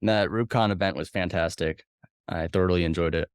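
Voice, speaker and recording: Male podcast host with a very low, smooth voice and brief, plain-spoken questions. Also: fairly quick, dry recording, studio quality. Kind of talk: interview hosting.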